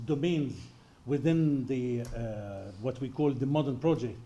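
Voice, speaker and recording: A man's voice: mid-range voice, measured pace, dark tone, dull audio. A man, the guest lecturer, answering an audience question in an emphatic, argumentative way.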